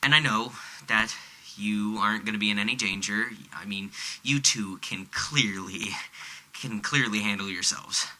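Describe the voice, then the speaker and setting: A man playing a smarmy, uneasy supervillain leaving a halting, hedging voicemail in an audio drama. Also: lowish voice, sharp tone, rough recording. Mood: uneasy, wheedling.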